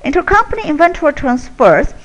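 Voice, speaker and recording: Lively-pitched woman walking through a software demo. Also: brisk, a little hiss or hum, rough recording. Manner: steady step-by-step explaining while clicking through screens. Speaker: software product presenter.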